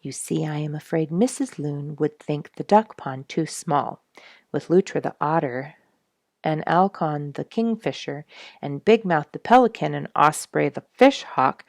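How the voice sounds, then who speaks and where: A woman's voice: low voice, gravelly, a little mellow, dry recording, studio quality, exaggerated voice. A woman voicing a polite male loon in a children's storybook, explaining things in a courteous, emphatic way. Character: polite male loon, chatty traveling fisher bird. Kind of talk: children's storybook character reading.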